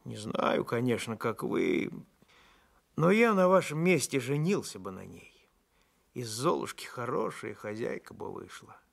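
A man's voice: medium pitch, very animated, measured pace, vocal fry, exaggerated voice. Man reading a kindly, grumbling village paramedic. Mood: teasing. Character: kindly, teasing male village paramedic, deferential to the doctor.

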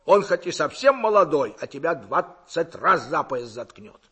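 A man voicing a gruff, commanding village boss in an audiobook, barking blunt, firm orders. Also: on the high side, very animated, normal speed, a bit bright, full character voice. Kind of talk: audiobook character acting.

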